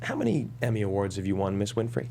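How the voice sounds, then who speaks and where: A smug, probing courtroom lawyer played by a man in a drama read, with a low voice full of gravel. The questions come pointed and skeptical, over faint noise. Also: sing-song, quick pace. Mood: smug, pressing. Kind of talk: script table read.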